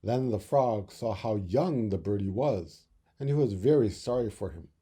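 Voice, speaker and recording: Guy reading a kids storybook, super mellow dark voice, lots of up and down, dramatic careful phrasing. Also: fairly low pitch, lively intonation, normal speed, breathy edge. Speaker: storybook reader. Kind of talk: expressive children's story narration.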